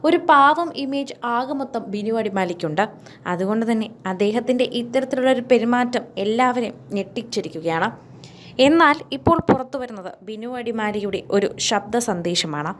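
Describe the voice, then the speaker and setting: A woman narrates entertainment news from a script in a fairly high voice. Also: expressive, moderate pace, a little hiss or hum.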